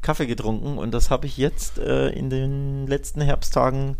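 A man's voice: mid-range voice, fairly even intonation, normal speed, creaky, a little mellow, clean tone. A middle-aged man co-hosting a football podcast in a casual, conversational style. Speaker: podcast co-host.

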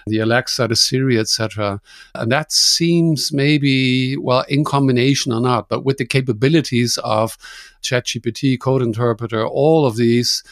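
Male co-host, chatty and loose, mid pitch, dry sound. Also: steady tone, moderate pace, dry recording. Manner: rambling, many "you know" fillers. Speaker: podcast co-host. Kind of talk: casual chat.

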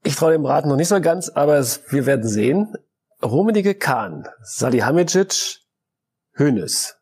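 A man with a husky, slightly breathy voice moderating a sports talk show. He poses pointed questions with a dry, ironic edge and steers the discussion from topic to topic. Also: on the high side, animated, moderate pace, darkish tone.

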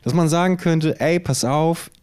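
A man's voice: on the high side, a little slow, darkish tone, smooth, dry recording. A man co-hosting a podcast in casual chat, with a probing, questioning way of talking. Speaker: podcast co-host.

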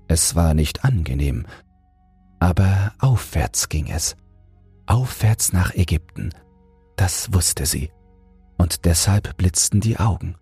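A man narrating a bedtime fairy tale in a gentle, measured, soothing manner. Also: low voice, lively intonation, slightly rough, faint music behind. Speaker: audiobook narrator and podcast host.

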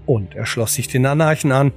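A man reading scripted audio drama narration in a measured, solemn storytelling manner. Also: medium pitch. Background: music.

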